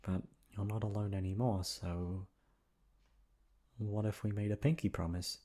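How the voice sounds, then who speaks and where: A man voicing a gentle, earnest, timid teenage prince in a bedtime story, speaking softly and hesitantly. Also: lowish voice, fairly even intonation, a little slow. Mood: earnest, tender.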